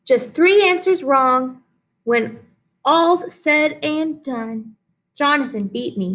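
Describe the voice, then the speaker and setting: A woman's voice: sits high, low-quality recording. A woman teacher leading a read-aloud lesson for children, explaining and guiding listeners in an instructive way. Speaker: teacher reading poems aloud for pupils.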